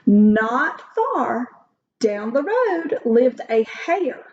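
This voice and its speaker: A woman reading a children's story aloud like a teacher, with deliberate, expressive phrasing. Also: a little high. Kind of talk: slow storybook read-aloud. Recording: dull lo-fi recording.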